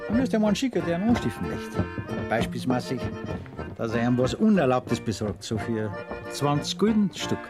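An actor playing a scheming, jealous village suitor with a Bavarian accent, sly and insinuating. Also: male voice, mid-range voice, big pitch swings, a little fast, lightly acted. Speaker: actor in a radio play. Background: folk music.

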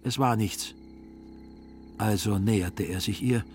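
A man narrating a literary audio drama in a measured, dryly ironic way. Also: on the low side, a little mellow, slight background noise.

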